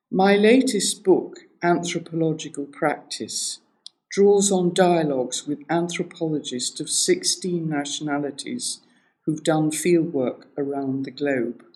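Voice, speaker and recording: A woman's voice: low voice, a little mellow. An older woman academic reading a scripted autobiographical narration. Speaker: academic anthropologist.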